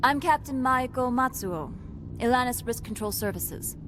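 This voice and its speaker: A woman's voice: high-pitched, expressive, moderate pace. A woman playing a stern, rule-bound security captain in a video game, curt and official. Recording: clear ambient noise behind.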